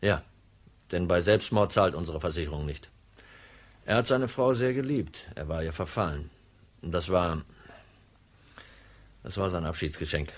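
An actor playing a cool, shrewd insurance detective in a radio crime drama, matter-of-fact and in control. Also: male voice, sits low, lively intonation, moderate pace, pauses often. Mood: calm, explaining.

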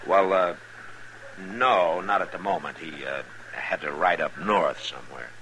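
An actor playing a watchful, lonely American marshal in a 1950s radio western. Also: male voice, deep voice, unhurried, sharp tone. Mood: easygoing. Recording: noisy 1950s radio broadcast recording.

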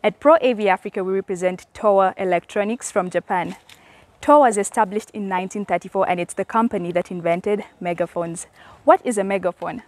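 A young woman giving a scripted product presentation to camera, explaining in a measured way. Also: medium pitch, no room sound. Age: young adult. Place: outdoors in a leafy garden.

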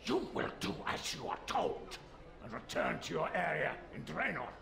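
A stern middle-aged father and spymaster from a fantasy game. His measured, dismissive delivery swings widely in pitch and has a creaky grain. The sound is roomy, with faint noise behind it. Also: big pitch swings, sharp tone, soft background music, heavily acted. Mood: stern, defensive. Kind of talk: video game voice acting.

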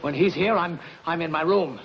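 An actor playing a middle-aged gangster, insecure and brooding, talking tersely in a 1950s crime film. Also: male voice, fairly high pitch, moderate pace, slight background noise, close to an everyday voice. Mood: tense, threatening. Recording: dull old film soundtrack with music.

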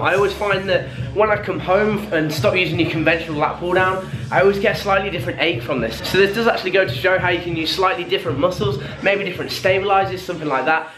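A British man in his early twenties talking casually and enthusiastically as a fitness vlogger, explaining a workout to camera. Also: sits high. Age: early 20s. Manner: enthusiastic, informal. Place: small brick garage home gym, indoors. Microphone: camera at arm's length or propped nearby. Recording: echoey small room, music behind. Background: music.

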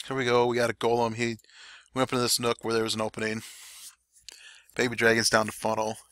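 Male game commentator, casual play-by-play, slightly dry throat, bright tone, dead-dry recording. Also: mid-range voice, fast talker, no room sound. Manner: relaxed, chatty play-by-play. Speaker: clan war recap commentator. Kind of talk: gameplay commentary over replays.

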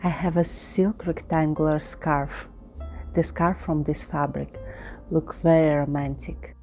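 Low, mellow-voiced woman tutorial host. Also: steady tone, measured pace. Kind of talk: tutorial voice-over with history. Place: indoor studio with painted backdrop. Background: soft background music.

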